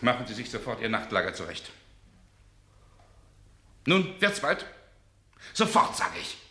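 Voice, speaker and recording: An actor playing a stern, indignant gentleman in a 1960s radio drama, with a commanding, scolding way of talking. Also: mid-range voice, very animated, a little slow. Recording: noisy old 1960s radio recording.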